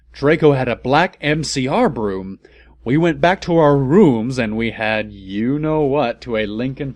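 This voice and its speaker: A man's voice: mid-range voice, a little mellow, no room sound, natural voice. A man voicing an angsty, self-absorbed teenage goth girl in a reading of amateur fan fiction.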